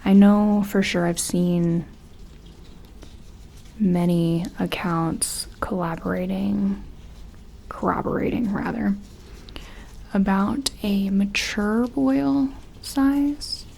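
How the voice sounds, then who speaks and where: A woman doing a gentle ASMR roleplay as a careful scientist examining an alien, speaking softly and often slipping into a whisper, hesitant and reassuring. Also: mid-range voice, fairly even intonation, slow pace, long pauses, low-quality recording. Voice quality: soft, breathy, often dropping to a whisper.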